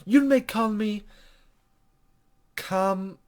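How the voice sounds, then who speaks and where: Man putting on a high, gentle mysterious lady. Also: drawn-out words. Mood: gentle, gracious. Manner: soothing, formal, flowery speech. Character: mysterious gentle lady guide, courteous and reassuring.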